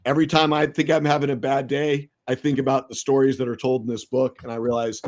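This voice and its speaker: A man's voice: medium pitch, flat delivery, fast talker. A middle-aged man with an American accent, a guest in a casual interview, telling stories with many fillers and restarts. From United States. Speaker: podcast guest, entrepreneur.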